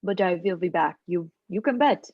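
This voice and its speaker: A woman playing a scheming, patronizing foreign doctor in a table read, with slow, emphatic, stilted phrasing, on a muffled microphone. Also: fairly low pitch, lightly acted. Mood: insistent. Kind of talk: film script table read.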